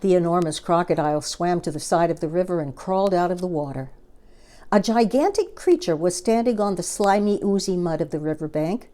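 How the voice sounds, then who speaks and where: A woman's voice: on the low side, animated, a little fast, dark tone. A woman reading a children's storybook aloud as narrator.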